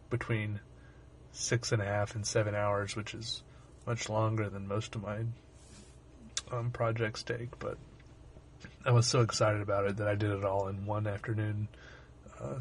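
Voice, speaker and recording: Young man, hoarse wry voice-over. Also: fairly low pitch, slight background noise. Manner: wry, rambling, self-deprecating humor. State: sore throat, losing his voice. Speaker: artist and channel host. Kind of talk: casual voice-over narration.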